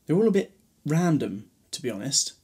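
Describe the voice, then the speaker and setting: A man's voice: on the high side, big pitch swings, moderate pace, warm tone, slight background noise. A man playing a chatty, eccentric time-travelling alien in an audio drama, whimsical and breezily distracted. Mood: chatty, breezy. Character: eccentric, chatty, newly regenerated male Time Lord.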